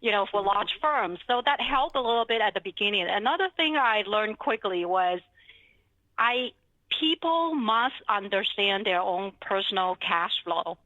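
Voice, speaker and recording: Bright-voiced Chinese-accented woman, dull recording. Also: mid-range voice, moderate pace, dry recording. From China. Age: middle-aged. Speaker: financial advisor being interviewed. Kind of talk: conversational interview, telling her career story.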